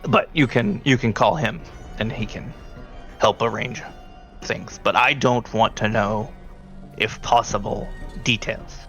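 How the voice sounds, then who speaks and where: A man narrating a tabletop roleplaying game as game master, speaking haltingly and deliberately. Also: fairly low pitch, steady tone, a bit bright, soft background music. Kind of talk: narrating a tabletop roleplaying game, partly in character as a briefing handler.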